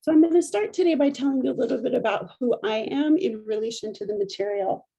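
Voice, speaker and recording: Husky, mellow-voiced middle-aged woman lecturing. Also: fairly high pitch, rough recording. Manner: measured, explanatory with frequent restarts. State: allergy-affected voice. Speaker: professor.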